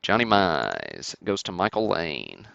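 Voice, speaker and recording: Easygoing guy doing a livestream card break, crackly low voice, chats with viewers, kinda dull audio. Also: wildly swooping pitch, slow pace, gravelly, a bit bright, no echo. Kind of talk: casual livestream commentary, calling out cards and buyers.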